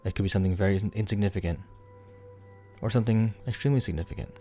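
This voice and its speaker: A man leading a guided meditation in a soothing, unhurried, instructional way, leaving pauses between phrases. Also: lowish voice, dark tone. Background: background music.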